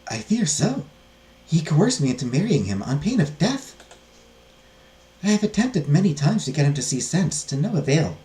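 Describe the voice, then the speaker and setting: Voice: mid-range voice, very animated, normal speed, mellow, echoey room, pitched above the performer's own voice, lightly acted, poor audio. A performer voicing a young fugitive princess, earnest, weary and courteous, with formal storybook phrasing. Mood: sorrowful.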